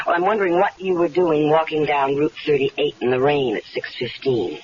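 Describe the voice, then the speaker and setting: A woman's voice: low voice, moderate pace, slightly rough. An actress playing a scheming, unhappily married woman who coaxes and teases, in a 1970s radio drama. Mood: probing. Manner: teasing, coaxing, knowing. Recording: dull lo-fi 1970s radio recording.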